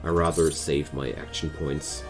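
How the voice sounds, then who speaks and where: A man's voice: a bass voice, flat delivery, a little slow. A man with a German accent giving casual gameplay commentary, thinking aloud as he plays. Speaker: gaming video creator. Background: music.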